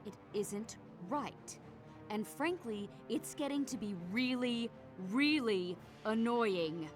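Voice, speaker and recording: Game heroine: indignant, forceful, emphatic, lively higher voice over clear music. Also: female voice, slow pace. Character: exasperated, forceful female superhero. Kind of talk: video game character acting.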